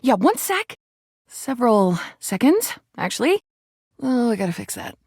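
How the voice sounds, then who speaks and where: A man's voice: extremely high pitch, very animated, unhurried, a little mellow, breathy edge, dry recording, clean studio sound, full character voice. A man voicing a hyperactive goofball game mascot, campy and theatrical, full of playful silliness. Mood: flustered, chatty.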